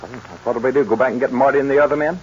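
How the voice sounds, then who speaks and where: An actor playing a cautious but game American cowboy sidekick, folksy and exclaiming, in a 1940s radio western. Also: male voice, mid-range voice, steady tone, racing, slightly rough, lightly acted. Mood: wary but game. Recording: noisy, muffled 1940s radio recording.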